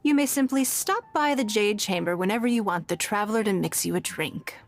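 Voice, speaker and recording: An actress playing a dignified, shrewd noblewoman in a video game, measured and gently teasing. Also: mid-range voice, expressive, natural voice. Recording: music behind the voice. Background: background music.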